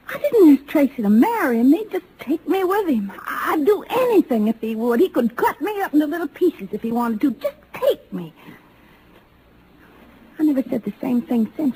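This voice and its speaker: A woman's voice: a little high, mellow, lightly acted. An American woman playing a hot-tempered young frontier gunslinger in a radio western, with folksy, ungrammatical, combative talk. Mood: pleading, vulnerable. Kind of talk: old-time radio western acting. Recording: noisy old radio drama recording.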